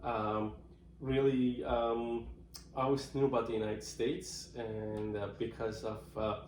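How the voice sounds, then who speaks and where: A middle-aged man from Iran answering interview questions in a thoughtful, unhurried way, with many fillers and restarts. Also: medium pitch, level tone, darkish tone, slight background noise. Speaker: interviewee, marketing professional. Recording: roomy echoey room.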